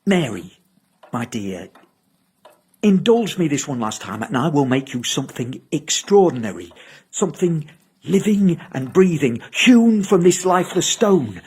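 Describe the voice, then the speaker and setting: An actor playing an eccentric, devoted Victorian husband with grand, earnest ambitions, in a theatrical audio drama style. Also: male voice, on the high side, very animated, measured pace, a little mellow, breathy edge, full character voice. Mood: pleading, visionary. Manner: grand, rhetorical, declaiming.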